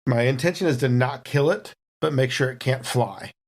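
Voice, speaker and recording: A man's voice: mid-range voice, normal speed. A man playing a tabletop roleplaying game, casually describing what his character does.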